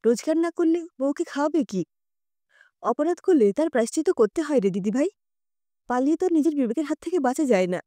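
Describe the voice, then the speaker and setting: Woman voicing a firm, kindly old grandmother, high voice. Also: lively intonation, fairly quick, warm tone, no room sound. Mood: firm, wise. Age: older. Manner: affectionate, admonishing, plain-spoken.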